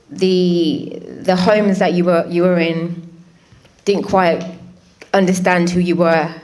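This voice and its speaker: Slow-talking woman moderating a panel. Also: on the low side. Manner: unhurried, gently questioning.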